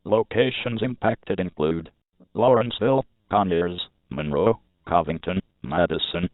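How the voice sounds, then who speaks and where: A man's voice: deep voice, a little slow, no room sound. A mechanical-sounding man reading a scripted emergency weather warning in a formal, clipped way, as an alert announcer. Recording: muffled phone-like radio broadcast with noise.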